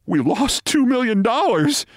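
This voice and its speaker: A man voicing a panicking, high-strung baseball executive who shouts frantically in a story. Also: big pitch swings, a little slow, dry recording, studio quality, full character voice. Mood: stunned horror. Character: panicking, high-strung baseball general manager, near hysterical.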